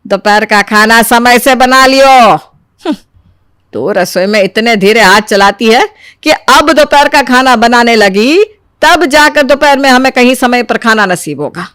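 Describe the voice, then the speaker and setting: A woman voicing a domineering middle-aged mother-in-law who scolds and complains, in an animated family moral story. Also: on the high side, a little slow, clean tone, dry recording, studio quality. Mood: nagging.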